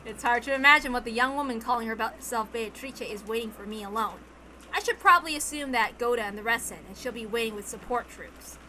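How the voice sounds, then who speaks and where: A woman's voice: on the high side, brisk, sharp tone, slight background noise, rough recording. A woman reading a visual novel aloud as dramatic story narration.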